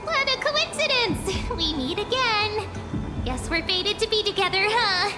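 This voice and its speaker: A woman voicing a playful, dreamy young girl in an anime-style video game, coy and earnest, with cutesy, expressive delivery. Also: very high voice, a little slow, loud music behind the voice.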